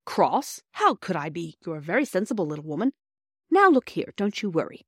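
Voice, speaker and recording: A woman voicing a cheerful, kindly male country doctor in a storybook, brisk, jovial and reassuring. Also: lowish voice, sing-song, slightly rough, dry recording, clean studio sound. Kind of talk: storybook character dialogue.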